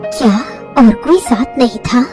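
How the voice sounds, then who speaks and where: Cartoon queen, fairly high voice, concerned, curious, music behind. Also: expressive, unhurried.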